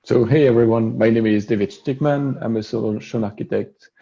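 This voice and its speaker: A man with a French accent giving a casual, relaxed tech demo over an online meeting, with frequent "uh" fillers. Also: mid-range voice, fairly quick, vocal fry, husky, dull audio. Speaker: solutions architect presenting a demo. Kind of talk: casual screen-share tech presentation.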